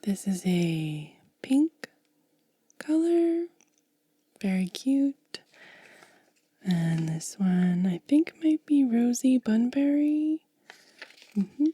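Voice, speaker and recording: A woman doing soft-spoken ASMR, mostly whispering in a gentle, breathy, casual way as she narrates a hands-on demonstration close to the mic. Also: a little high, very animated, no room sound. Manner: gentle, unhurried, casual commentary. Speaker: ASMR video creator and host. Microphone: close mic, dry.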